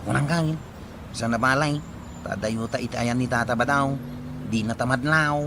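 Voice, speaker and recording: A man with a Filipino accent acting an excitable, gossiping tricycle driver in an Ilocano comedy drama. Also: medium pitch, normal speed, vocal fry, noisy background, close to an everyday voice. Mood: curious.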